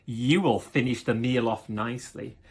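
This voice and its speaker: A man's voice: mid-range voice, moderate pace, husky, voice pushed down. A man voicing a greedy storybook wolf who poses as a sweet grandma, drawing words out in a theatrical croon. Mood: growling, greedy.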